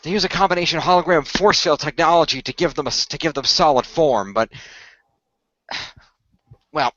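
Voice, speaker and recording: Guy game mastering an audio rpg, bright forceful voice, halting explanations, dry dull recording. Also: a little high, slight background noise. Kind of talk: improvised storytelling and in-character talk for an audio role-play.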